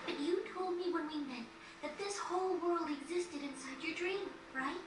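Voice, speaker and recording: Very high-voiced, earnest young girl. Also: normal speed. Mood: warm, grateful. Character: gentle, earnest young girl who uses magic. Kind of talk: scripted video game cutscene acting. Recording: roomy, distant, noisy game capture.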